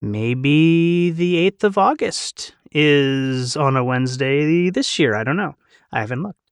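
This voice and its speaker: A man's voice: a little high, lively intonation, takes it slowly, clear, resonant tone, no echo, clean studio sound. A man hosting a casual podcast discussion among colleagues. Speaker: podcast host.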